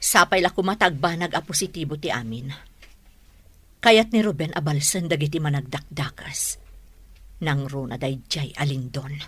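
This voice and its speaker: An anxious, soft-spoken woman in a radio drama. Her voice is very low and husky, recorded dry. She sounds hushed and worried. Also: moderate pace, dry recording.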